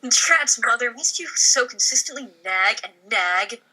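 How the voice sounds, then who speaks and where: A woman's voice: on the high side, expressive, unhurried, pushed and bright, low-quality recording. A woman on an online voice call, chatting and reading a script casually as a podcast guest. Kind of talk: casual chat and table read.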